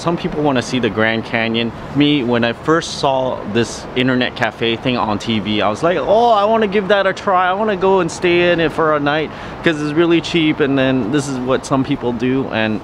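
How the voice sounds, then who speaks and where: Chatty man vlogging on a handheld camera. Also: medium pitch. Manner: chatty, explains costs and details while walking around. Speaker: travel vlogger. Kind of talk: casual vlog talk to camera. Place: small internet cafe indoors, later a street. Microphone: handheld camera at arm's length. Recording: noisy background hum.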